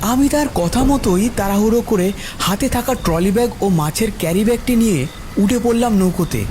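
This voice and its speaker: A man's voice: high voice, noisy background. A man narrating a scripted horror story in the first person.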